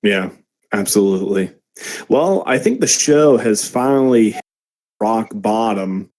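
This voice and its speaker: A man with a fairly low voice co-hosts a podcast in casual chat. He drops quick jokes and teasing asides. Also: lively intonation, darkish tone, poor audio. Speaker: podcast co-host.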